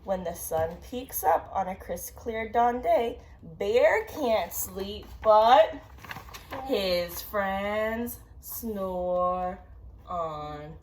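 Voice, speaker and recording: A woman reads a children's story aloud in a mid-range voice. Her intonation is lively and dramatic, and she stretches key words. Faint noise sits behind her. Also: medium pitch. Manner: dramatic, drawn-out emphasis. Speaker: storybook reader. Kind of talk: expressive children's read-aloud.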